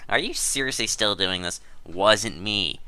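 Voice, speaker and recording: A man's voice: fairly low pitch, animated, unhurried, pushed and bright, smooth, exaggerated voice. A man doing a dramatic reading as a defensive, aggrieved gamer, whiny and insistent about his innocence. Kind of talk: dramatic reading of a gaming chat log.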